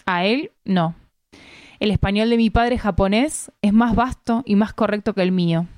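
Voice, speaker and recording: A woman from Argentina with an Argentine Spanish accent reads a literary first-person story aloud in measured, deliberate phrasing. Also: medium pitch, darkish tone, no room sound, studio quality. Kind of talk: literary narration, read aloud.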